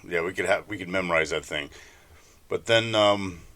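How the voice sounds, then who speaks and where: Man, low voice, bright forceful tone, podcast co-host, casual anecdotal chat. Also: normal speed.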